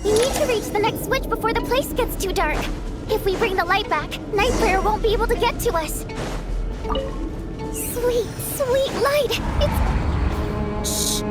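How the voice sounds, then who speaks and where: Guardian spirit, game character, very high voice, perky, urgent, music underneath. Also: female voice, exaggerated voice. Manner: perky, urgent, quick bursts of instruction. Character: chirpy female guardian spirit, upbeat and bossy. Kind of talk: game voice acting.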